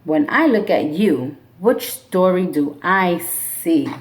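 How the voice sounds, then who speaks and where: Slow, expressive woman reading aloud. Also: fairly low pitch. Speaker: teacher. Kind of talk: reading a picture book aloud to students; classroom instructions.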